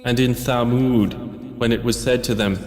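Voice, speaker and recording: A man's voice: medium pitch, steady tone, darkish tone. Solemn male scripture reader in heavy reverb. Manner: measured, formal delivery. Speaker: translation reader. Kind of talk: scripted solemn reading of English scripture translation. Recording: echoing long reverb, music-like backing.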